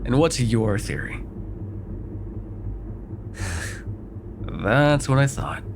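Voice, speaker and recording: A man's voice: mid-range voice, lively intonation, very slow, vocal fry, exaggerated voice. Man acting a wry, bickering vampire over background noise. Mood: teasing. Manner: teasing, wry, conversational with sighs and hesitations. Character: sarcastic, bickering male vampire with an awkward soft spot. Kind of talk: immersive ASMR roleplay acting.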